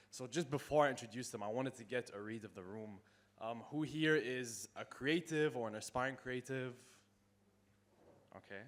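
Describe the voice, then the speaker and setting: A young man moderating a live panel in a casual, informal style. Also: mid-range voice. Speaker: content creator, panel moderator.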